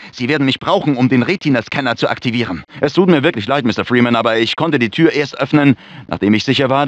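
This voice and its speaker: A man's voice: mid-range voice, a bit bright, no room sound. A male actor playing an anxious, fussy research scientist in a dubbed video game, speaking formally and precisely with nervous urgency. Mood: explanatory. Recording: dull, lo-fi game audio clips.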